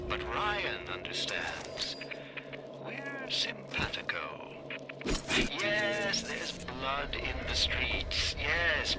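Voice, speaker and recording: A man's voice: mid-range voice, heavily acted. A male actor playing a flamboyant, unhinged, sadistic artist in a video game, with a theatrical, grandiose delivery. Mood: bitter, conspiratorial. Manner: theatrical, grandiose, swinging from hushed whispers to outbursts. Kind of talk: dramatic video game monologue. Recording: loud music and noisy ambience underneath.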